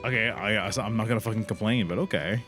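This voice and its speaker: A man's voice: fairly low pitch, fast talker. A man casually commentating his gameplay with slangy swearing and an impatient, exasperated manner. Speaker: live streamer playing a game. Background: video game music.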